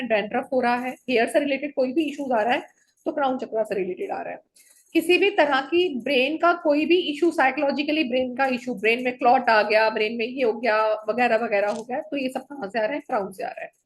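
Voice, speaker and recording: A woman's voice: high voice, rough recording. A woman teaching an online color therapy class, listing one item after another and checking in with her students.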